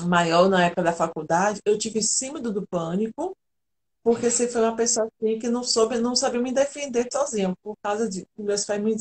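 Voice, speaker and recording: A woman's voice: on the high side, a little mellow. A woman from Argentina, an integrative therapist, telling her personal story earnestly and candidly as a guest on a live video call.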